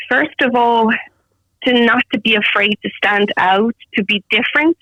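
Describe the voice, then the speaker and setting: A woman's voice: on the high side, fairly bright tone, no echo, highs cut off. A woman from Romania, speaking earnestly as a guest in an interview and often restarting her sentences. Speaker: guest solicitor. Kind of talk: conversational interview.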